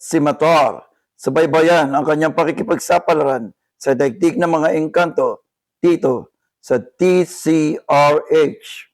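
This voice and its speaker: A Filipino man playing a radio drama announcer who heralds a hero in a grand, drawn-out manner. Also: on the high side. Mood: heroic, solemn. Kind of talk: 1970s radio drama introduction.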